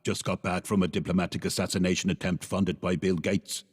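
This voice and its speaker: A man's voice: deep voice, steady tone, a little fast, slightly rough, in a large hall. A man playing a boastful, crude mercenary with a swaggering manner in a radio play. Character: boastful, foul-mouthed mercenary gun for hire.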